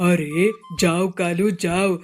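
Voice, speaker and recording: A woman's voice: on the low side, fairly even intonation, slow pace, soft background music. A woman voicing a stingy, scheming male cartoon bird who talks in a bossy, self-satisfied, wheedling way. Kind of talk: cartoon voice acting.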